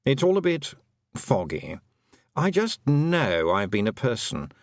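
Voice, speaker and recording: Man reading as a nervous, rambling storybook toad, lively voice, dry close mic. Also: mid-range voice, a little slow, no room sound. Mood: fretful. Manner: rambling, fretful musing. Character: anxious talking toad, once a man.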